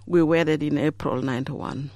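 A woman's voice: low-pitched, steady tone, moderate pace, dry recording. Laughing storyteller woman from Uganda. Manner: warm storyteller, laughs often, acts out quoted voices. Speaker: interviewee. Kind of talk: storytelling in an interview.

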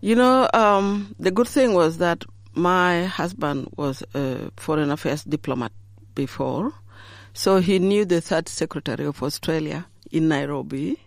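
A woman's voice: low voice, a little slow, no echo. A middle-aged woman from Uganda telling stories in an interview, laughing often and acting out the voices of people she quotes. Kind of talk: storytelling in an interview.

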